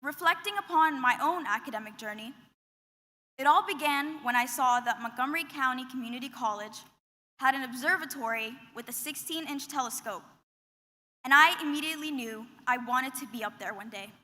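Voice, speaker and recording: High, bright young woman in a hall. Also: a little fast. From Qatar. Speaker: student commencement speaker. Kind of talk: prepared graduation speech. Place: large commencement hall. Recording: long reverb of a big hall.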